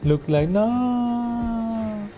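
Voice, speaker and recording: A man's voice: very high voice, lively intonation, drawn-out words, mellow, faint music behind. A man giving casual, informal, sweary commentary while he plays and reacts to a video game. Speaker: gamer playing and reacting on video.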